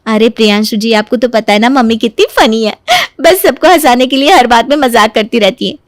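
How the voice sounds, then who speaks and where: A woman voicing a sly, playful young daughter-in-law in a cartoon story, sugary and expressive while feigning innocence. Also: on the high side, fairly quick, no room sound, studio quality, natural voice. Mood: sweet, cheerful. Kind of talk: animated Hindi moral story voice acting.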